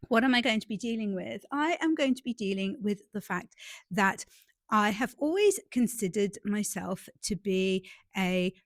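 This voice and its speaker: Woman vlogger, mid pitch, congested and a bit nasal from a cold, dry close sound, chatty and self-mocking. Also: lively intonation, normal speed, dry recording. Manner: chatty, self-deprecating, friendly. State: stuffy nose from a cold. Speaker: romance author and YouTuber. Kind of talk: casual vlog to camera. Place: outdoors in a garden.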